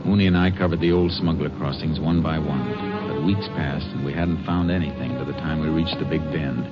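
An actor playing a shrewd, steady American Texas Ranger in a 1950s western radio drama, terse and matter-of-fact. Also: male voice, a bass voice, brisk, over loud music. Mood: measured narration. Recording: muffled, noisy old-time radio recording.

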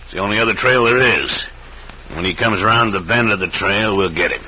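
An actor playing a cold-blooded, scheming crooked marshal in an old-time radio western, gruff and folksy. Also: male voice, on the low side, fairly quick, pushed and bright. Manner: gruff, folksy, starts lines with "yeah". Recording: very noisy, dull old radio recording.

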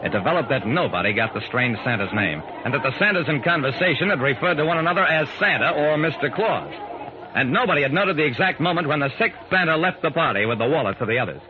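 A man's voice: on the high side, lively intonation, fast, narrow, tinny audio, crowd noise, close to an everyday voice. A man acting as an earnest, kindly amateur sleuth who narrates his own story in an old radio drama. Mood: measured, intrigued. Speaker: narrator and lead actor. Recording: noisy, band-limited old radio broadcast.

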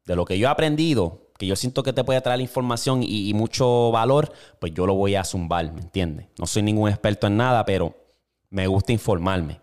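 A young Puerto Rican man talks into a single-camera setup, loose and slangy, cursing without a second thought. His voice sits a little low and comes through smooth and clean. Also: normal speed, a little mellow. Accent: Puerto Rican Spanish. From Puerto Rico. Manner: slangy, laid-back, swearing freely. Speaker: podcast host. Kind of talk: casual solo podcast chat. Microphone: single camera with mic.

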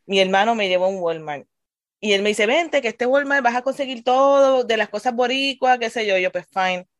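Puerto Rican woman telling lively stories. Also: on the high side, normal speed, dry recording, studio quality. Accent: Puerto Rican Spanish. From Puerto Rico. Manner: animated storyteller, mixes English words. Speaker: teacher. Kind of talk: casual chat.

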